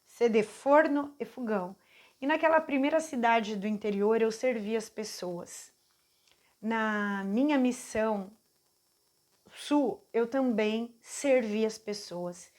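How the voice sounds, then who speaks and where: A Brazilian woman in her forties giving an earnest, heartfelt personal faith testimony, speaking haltingly with restarts. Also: on the high side, darkish tone. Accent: Brazilian Portuguese. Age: 40s. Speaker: channel host giving a testimony.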